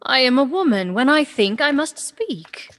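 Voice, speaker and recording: A woman's voice: sits high, very animated, normal speed, fairly bright tone, long reverb tail. A woman playing a witty, lovestruck young woman disguised as a youth in a Shakespearean radio play, eager and playful. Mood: lovestruck excitement. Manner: eager, playful, theatrical verse-like delivery.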